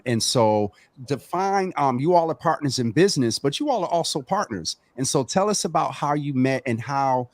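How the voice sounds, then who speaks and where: A man's voice: mid-range voice, no room sound. A man hosting an interview in a playful, teasing way. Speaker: host.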